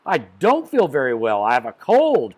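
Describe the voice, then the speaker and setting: A man's voice: medium pitch, huge pitch swings, normal speed, a little mellow, husky. A man voicing a weary, incredulous storybook newsstand seller with a cold. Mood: weary, confiding.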